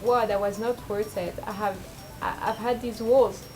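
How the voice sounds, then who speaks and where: A young woman with a low speaking voice, rambling and self-deprecating in a casual confessional vlog, full of fillers and restarts. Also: steady tone, normal speed, dark tone, faint music behind, rough recording. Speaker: vlogger.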